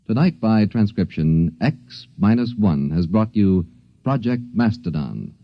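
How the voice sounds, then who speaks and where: A male radio announcer reading the closing credits in a measured, formal style. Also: lowish voice, no echo. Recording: dry lo-fi 1950s radio transcription.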